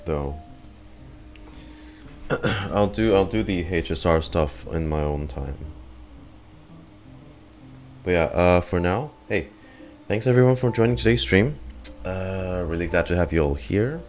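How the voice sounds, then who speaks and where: Low-voiced man streaming a game. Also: animated, a little slow, pauses often, darkish tone, soft background music. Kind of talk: casual livestream gameplay commentary.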